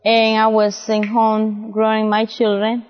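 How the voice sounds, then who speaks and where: Woman, halting English, slightly high, reverberant lo-fi recording. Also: completely flat delivery. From El Salvador. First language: Spanish. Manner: halting, simple non-native English. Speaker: interview guest, missionary.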